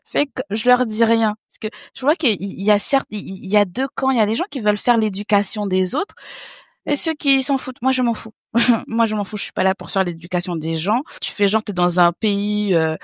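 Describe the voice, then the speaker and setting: A woman in her thirties from French Guiana, a podcast guest telling stories in a lively way, laughing and acting out what others said. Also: medium pitch, normal speed, no echo, studio quality. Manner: lively, laughing, acts out other people's remarks. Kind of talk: casual interview storytelling.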